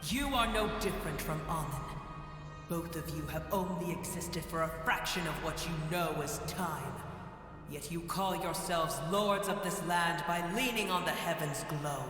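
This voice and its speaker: An actor playing an ancient, proud and bitter dragon god in a video game, gravely ill and speaking in grandiose, scornful pronouncements. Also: male voice, a little high, pushed and bright. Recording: cavernous long reverb, faint music behind.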